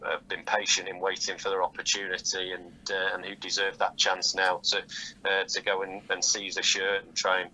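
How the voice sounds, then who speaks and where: A man giving measured, halting answers as a football manager interviewed over the phone, often repeating words as he goes. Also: sits low, monotone, sharp tone. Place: phone call. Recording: dull, lightly noisy phone call audio.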